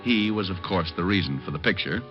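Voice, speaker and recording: A low-voiced, wry private detective in a vintage radio crime show. He is breezy and quick with a wisecrack. The recording is muffled, with music around it. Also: animated. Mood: wry narrating. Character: breezy wisecracking male private detective narrating his case. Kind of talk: 1950s radio detective drama acting and narration.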